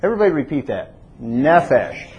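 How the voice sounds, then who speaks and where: A man's voice: medium pitch, very animated, fairly quick, breathy edge, lo-fi sound. A man preaching a teaching sermon in a church, asking the congregation questions and having them repeat words. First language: not Hebrew. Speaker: pastor.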